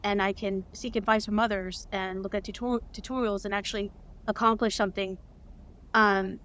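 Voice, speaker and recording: A woman's voice: medium pitch, steady tone, normal speed, a bit bright. A woman guest on an interview, an audio drama creator, speaking enthusiastically with frequent ums. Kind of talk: casual interview answer.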